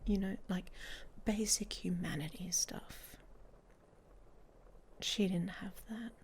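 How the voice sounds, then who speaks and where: A woman's voice: medium pitch, fairly even intonation, takes it slowly, a little hiss or hum. A woman playing a sardonic, guarded werewolf lover in an intimate ASMR romance roleplay, whispering close to the microphone in a dry, hesitant way. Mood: wry, confiding. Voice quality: breathy whisper with creaky edges.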